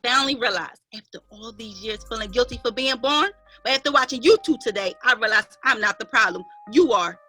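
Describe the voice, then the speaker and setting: An actress playing an aggrieved, proper half-sister in a family drama, speaking in an emphatic, wounded, indignant way. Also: female voice, fairly high pitch, fairly quick, hardly any pauses, sharp tone, faint music behind. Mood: fed up. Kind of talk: dramatic play reading.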